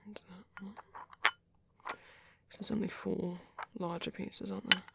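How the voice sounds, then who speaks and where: A man voicing a caring, gentle vampire priest in a whispery, breathy ASMR roleplay, speaking hesitantly and reassuringly. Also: high voice, flat delivery, measured pace, very noisy, exaggerated voice. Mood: soft, caring. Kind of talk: soft-spoken ASMR roleplay.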